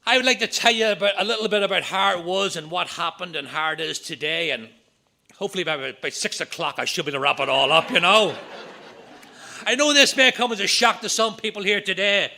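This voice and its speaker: A middle-aged man with a Northern Irish accent, giving an earnest talk at a recovery meeting with quick asides. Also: sits high, sharp tone. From Northern Ireland. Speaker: AA speaker. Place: meeting room.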